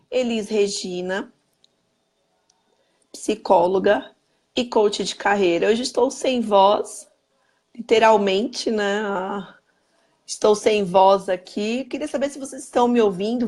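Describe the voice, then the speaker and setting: A woman with a Brazilian Portuguese accent hosts a live-stream chat in a hoarse voice at a middle pitch. She talks in an upbeat way, greeting viewers by name and using catchphrases, recorded on a webcam. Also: a little mellow. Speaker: host.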